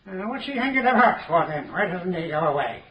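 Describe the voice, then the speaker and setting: A man's voice: sits high, sing-song, brisk, roomy sound, lo-fi sound. An actor playing a forgetful, impatient older male coroner in a radio drama, with a querulous, confused way of talking. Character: doddery, forgetful old male coroner, grumpy.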